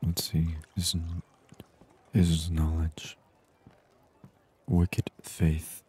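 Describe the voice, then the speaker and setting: A man doing soft-spoken ASMR game commentary, murmuring and unhurried as he reads aloud. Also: very deep voice, monotone, husky. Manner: murmured, unhurried, reading on-screen text aloud. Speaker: gaming channel host.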